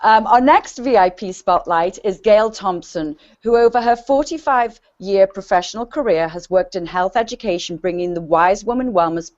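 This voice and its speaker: A woman's voice: medium pitch, moderate pace, hardly any pauses, darkish tone. A woman hosting a webinar, formally reading speaker introductions. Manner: reads speaker bios formally. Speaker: webinar host. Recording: muffled web call audio.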